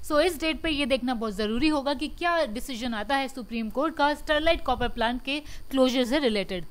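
A woman presenting stock market news as a voice-over, explaining each update in a steady way and mixing English terms into her speech. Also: high-pitched, a little fast. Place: voice-over over screen recording.

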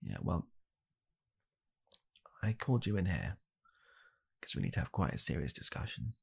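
A young man playing a blunt, callous father in an ASMR comedy roleplay, speaking softly and haltingly in a deadpan way. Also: deep voice, vocal fry, husky, full character voice. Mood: awkward, grave. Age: young adult. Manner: soft-spoken, halting, deadpan. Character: blunt, callous father calmly blaming his child. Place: small home room, indoors.

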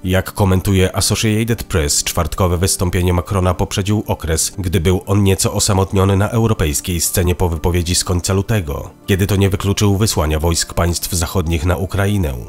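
A man narrates the news in a calm, measured studio read. His voice sits very low and deep. Soft music plays faintly underneath. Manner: even, measured reading. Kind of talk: scripted news voice-over. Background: faint background music.

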